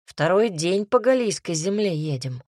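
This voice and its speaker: A woman's voice: fairly low pitch, expressive, moderate pace, darkish tone, dry recording, clean studio sound. Woman reading a chatty, teasing young hunter. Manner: bantering, teasing. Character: cheerful talkative young male hunter.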